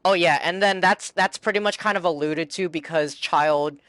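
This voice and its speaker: A man's voice: on the high side, normal speed, a bit bright, no echo. A man giving casual, rambling live reaction commentary as a game streamer on a close microphone. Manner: rambling, informal speculation.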